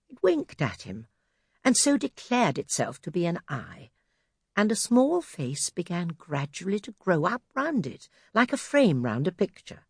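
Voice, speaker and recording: A woman's voice: lowish voice, breathy edge, dry recording. A woman narrating a children's audiobook expressively from a script, close to the microphone. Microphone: close studio microphone.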